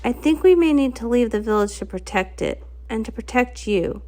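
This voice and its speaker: A woman's voice: a little high, lively intonation, normal speed, mellow, natural voice. A woman voicing a protective, caring adult village pony in a storybook, speaking in an earnest, explanatory manner. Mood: grave worry.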